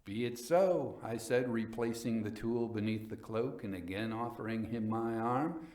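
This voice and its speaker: A man reading literary fiction as a dramatic storyteller, in a measured, theatrical manner. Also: on the low side, steady tone, a little mellow, echoey room.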